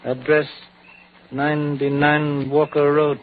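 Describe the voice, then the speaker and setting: A calm, methodical Chinese detective in an old radio play, on a thin, faintly hissy recording. His slightly high voice moves in clipped, measured phrases with articles left out. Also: narrow, tinny audio. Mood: methodical, puzzled. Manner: measured, clipped speech dropping articles and pronouns.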